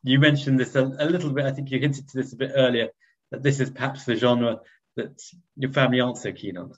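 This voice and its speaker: A male rabbi interviews his guest with measured, slightly halting questions. His voice comes through with a dull, lo-fi tone. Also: mid-range voice, steady tone. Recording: dull lo-fi sound.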